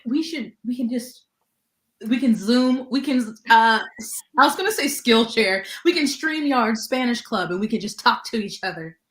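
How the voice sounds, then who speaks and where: A woman's voice: fairly high pitch, animated, normal speed, echoey room. A young adult woman chatting casually as co-host, rambling with lots of "like" and laughing often. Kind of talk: casual chat.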